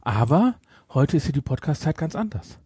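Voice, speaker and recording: A man's voice: a little high, sing-song, moderate pace, mellow, husky, no room sound, studio quality. A man hosting a podcast in a casual, rambling chat, often interrupting himself. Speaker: podcast host.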